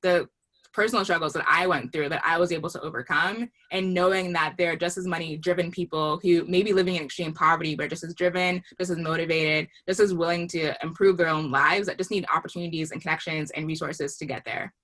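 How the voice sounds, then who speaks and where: Bright-voiced, brisk, low-pitched woman explaining. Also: fairly even intonation. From Liberian family. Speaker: author being interviewed about a book. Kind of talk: explanatory interview talk.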